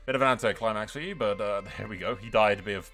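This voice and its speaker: A man's voice: lowish voice, fast talker, bright, forceful tone, faint music behind. A man giving casual, sweary gaming commentary as host, with a wry, joking and informal manner. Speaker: video game streamer, host.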